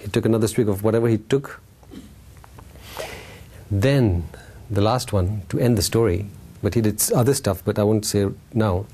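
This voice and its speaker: A man's voice: on the low side, moderate pace, long pauses, dark tone, a little hiss or hum. A man giving an informal, playful talk as a spiritual teacher, telling a story to a group and checking in with his listeners. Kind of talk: animated storytelling Dharma talk to a live group.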